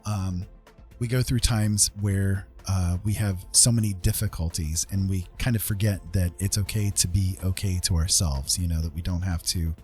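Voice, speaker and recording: A man casually chatting as a livestream host, earnest and encouraging, talking in a halting way with pauses. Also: low voice, steady tone, a little mellow, faint music behind. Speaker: livestream host and digital artist.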